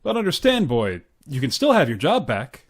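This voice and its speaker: A man's voice: a little high, wildly swooping pitch, normal speed, a little hiss or hum. A man playing a pompous, stingy, patronizing boss with a hearty manner, in old-time radio sitcom style. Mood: deflated, stingy. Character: blustery, stingy, patronizing male boss, middle-aged or older.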